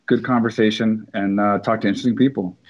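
A man chatting casually over a video call, relaxed and rambling. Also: on the low side, fast talker, muffled recording. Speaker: podcast host, guest.

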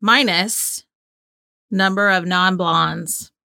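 A woman's voice: on the low side, drawn-out words, creaky, pushed and bright, dry recording. A woman co-hosting a casual trivia game, chatting and bantering in a playful, giggly way. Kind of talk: casual bantering quiz chat.

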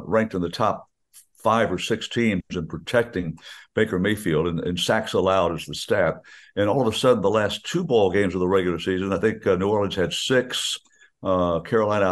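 An older man, a radio play-by-play announcer, chatting about football in a folksy, rambling way with many restarts. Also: sits low. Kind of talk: rambling radio sports chat.

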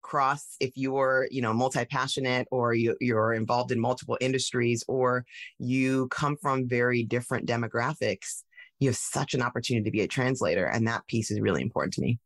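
A woman tech founder telling her story in a casual interview, animated, with many fillers and restarts. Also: a bass voice, brisk, no room sound, studio quality. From United States.

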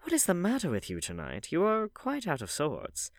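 Male reader as cynical society gentleman, classic novel; urbane and witty, varied intonation, dry sound. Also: fairly high pitch, wildly swooping pitch, normal speed, no room sound, pitched above the performer's own voice. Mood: wry. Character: witty, languid male aristocrat, cynical dinner guest.

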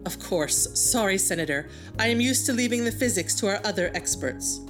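A woman's voice: mid-range voice, expressive, normal speed, over music, natural voice. A woman acting in an audio drama as a stubborn archaeologist and bickering wife, dry and insistent. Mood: courteous.

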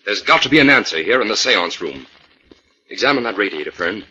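An actor playing a calm, decisive scientist detective in a 1940s radio drama, speaking with measured authority. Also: male voice, fairly low pitch, slightly rough, exaggerated voice. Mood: investigating. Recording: dull 1940s radio recording.

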